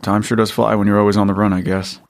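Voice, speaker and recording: A weary, protective father, played by a man in an audio drama. His voice sits very low and gravelly, with a flat, quick delivery that sounds dry, tired and blunt. There is little lift or warmth in how he speaks.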